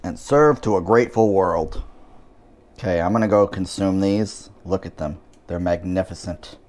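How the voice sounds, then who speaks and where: A man's voice: sits low, moderate pace, darkish tone. Wry man hosting a cooking show. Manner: dry, wry asides while cooking. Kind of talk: casual cooking demonstration.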